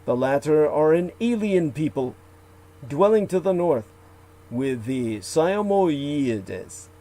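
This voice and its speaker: Man, fairly high voice, very slow, deliberate recitation, old-fashioned phrasing. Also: very animated, mellow, low-quality recording. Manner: asides with self-correction. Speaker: radio show guest. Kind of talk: reading old legends aloud.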